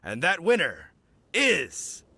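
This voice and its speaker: A man's voice: on the high side, huge pitch swings, takes it slowly, gravelly, sharp tone, slightly rough, full character voice. A man voicing a pompous, effusive, theatrical food judge in an anime video game. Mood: grand, delighted.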